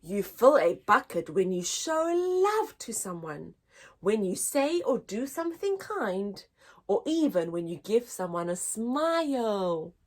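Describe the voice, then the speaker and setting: Slow, animated woman reading to children. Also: medium pitch. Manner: expressive, deliberate teacher-like delivery addressed to young children. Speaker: story time host reading picture books aloud. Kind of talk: children's storybook read-aloud.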